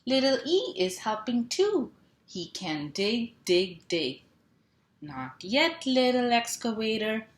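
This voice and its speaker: Slow-paced woman reading to young children, roomy echo. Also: on the high side, very animated. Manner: sing-song, stretches and repeats sound words, asks children simple questions. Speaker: children's teacher reading a picture book aloud. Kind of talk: animated picture-book read-aloud for young children. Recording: roomy echo, clear background noise.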